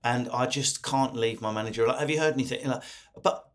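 Brash, sweary podcast host. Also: male voice, medium pitch, fairly quick. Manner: brash, sweary, jokey, interrupts. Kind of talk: casual chat.

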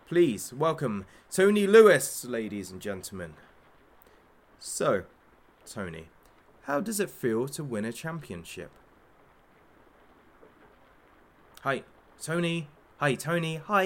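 A man's voice: a little high, big pitch swings, measured pace. Theatrical, wildly swooping sadistic showman. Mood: gloating, mocking. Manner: theatrical, mocking showman, taunting. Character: masked male kidnapper hosting a sadistic hostage talk show. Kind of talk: audio drama, parody talk show hosting.

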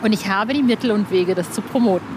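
A woman's voice: medium pitch, fast, noisy background. A woman hosting a podcast interview in a casual, chatty way, informal and eager in her emphasis. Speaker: podcast host, music journalist.

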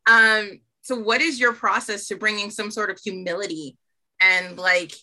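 A woman's voice: mid-range voice, steady tone, moderate pace, bright, forceful tone, rough recording. A woman hosting a casual interview and asking loose, profane questions full of fillers. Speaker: host and writer.

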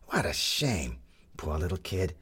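A man voicing a fretful young gentleman at breakfast who stumbles over his words. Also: low voice, big pitch swings, unhurried, slightly rough, exaggerated voice. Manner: hesitant, restarts with fillers.

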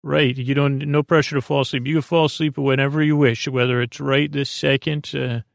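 A man hosting a bedtime podcast in a gravelly voice, rambling hesitantly and repetitively in a monologue meant to lull listeners to sleep. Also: medium pitch, flat delivery, fairly quick, no room sound, studio quality. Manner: meandering, hesitant, repetitive, with murmured asides. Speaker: podcast host.

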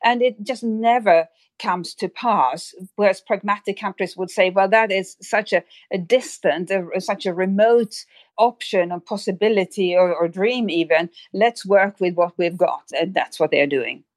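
A woman's voice: mid-range voice, a little slow, dry recording. A woman speaking as an expert guest in an analytical discussion, often repeating words as she works out her phrasing.

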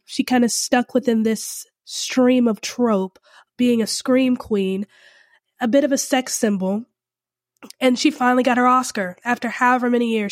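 A woman's voice: fairly high pitch, no room sound, studio quality. Chatty stuffed-up woman podcast host. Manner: chatty and rambling, many fillers. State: stuffed up from a cold. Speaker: podcast host, film reviewer. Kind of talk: casual film commentary.

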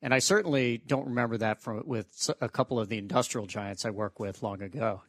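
Husky-voiced male radio host. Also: lowish voice, a little fast, dry recording. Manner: conversational questions, scripted break announcements. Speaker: radio show host, venture investor. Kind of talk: radio interview hosting.

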